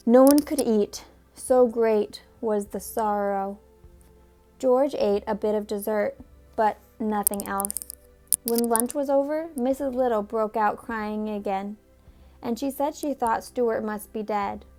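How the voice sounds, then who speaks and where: A woman reading a children's book aloud in a measured way, stopping now and then to talk about the pictures. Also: a little high, dark tone, soft background music. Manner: measured, pausing to comment on the pictures. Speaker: storybook reader.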